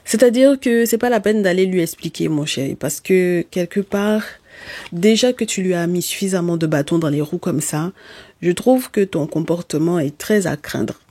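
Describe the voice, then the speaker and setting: A tarot reader who is not a native speaker talks straight to the listener and scolds them with emphasis. The voice sits in a middle pitch range. The sound is dry and close, with no room echo. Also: normal speed, darkish tone. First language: not French. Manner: emphatic and scolding, often checks in with "okay?"; speaks directly to listeners with terms of endearment. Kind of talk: spoken tarot reading addressed to viewers.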